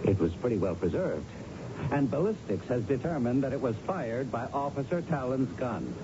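An actor playing a shrewd, self-assured American police lieutenant in a radio mystery, probing methodically and knowingly. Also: male voice, medium pitch, very animated, normal speed, natural voice. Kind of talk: radio mystery drama acting. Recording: muffled, noisy radio broadcast.

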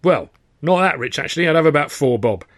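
A man's voice: on the high side, animated, a little fast, no echo, studio quality, pitched above the performer's own voice. A man voicing a harassed, irritable middle-aged office manager in an audiobook, brusque and sarcastic. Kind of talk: audiobook character voice.

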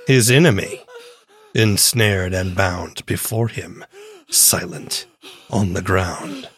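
Solemn bard narrator: deep-leaning voice, extremely measured, grave archaic verse. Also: male voice. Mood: ominous. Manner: grave, theatrical, archaic rhyming delivery. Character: solemn male bard narrating a dark vengeance tale.

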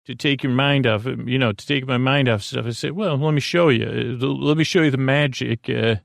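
Creaky rambling man hosting a sleep podcast. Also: mid-range voice, fairly even intonation, no room sound, studio quality. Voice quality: creaky, halting, many fillers and restarts. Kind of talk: rambling bedtime monologue.

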